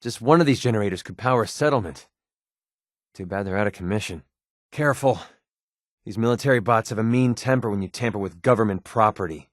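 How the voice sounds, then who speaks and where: A man's voice: on the low side, fairly quick, no echo, clean studio sound, close to an everyday voice. A man with an American accent playing a sarcastic, wisecracking young mercenary companion in a video game. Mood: wary, alert. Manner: sardonic, wisecracking, colloquial. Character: wry, sarcastic young male wasteland mercenary. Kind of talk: video game companion dialogue, naturalistic acting.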